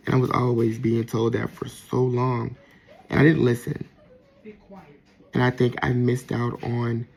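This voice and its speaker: A man with a soft voice, talking reflectively in a casual personal monologue with frequent pauses and restarts. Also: medium pitch, creaky, slight background noise, poor audio. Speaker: vlogger.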